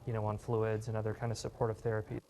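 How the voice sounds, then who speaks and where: A man's voice: lowish voice, completely flat delivery, racing, creaky, over a noisy crowd. A man giving an explanatory expert soundbite in a news interview.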